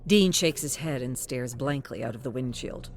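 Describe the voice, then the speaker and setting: A man reading scripted story narration in a measured, even storytelling delivery. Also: medium pitch, faint music behind.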